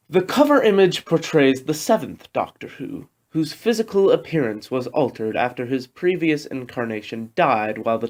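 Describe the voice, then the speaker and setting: A man reads a scripted storybook narration in the manner of an amateur audiobook. His voice sits at an ordinary middle pitch, and he delivers the prose as a narrator.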